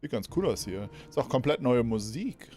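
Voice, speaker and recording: Male streamer, animated intonation, informal and jokey, faint game music behind him. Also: mid-range voice, fairly quick. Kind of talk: casual gameplay commentary.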